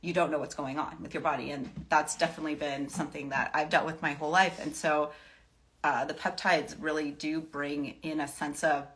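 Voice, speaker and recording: A woman's voice: low-pitched, fairly even intonation, a little fast, fairly bright tone. A woman giving casual, off-the-cuff wellness advice to her followers as a host, emphatic and reassuring, repeating phrases to drive points home.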